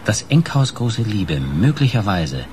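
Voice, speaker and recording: An actor playing a wry, inquisitive investigative reporter with a teasing, probing manner in a German radio crime drama. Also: male voice, on the low side, very animated, a little slow, noisy background. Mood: explaining.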